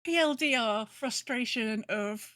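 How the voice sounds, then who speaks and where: Higher-voiced British woman, chatty and emphatic. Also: unhurried, a bit bright, no room sound, clean studio sound. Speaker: podcast co-host. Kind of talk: casual chat.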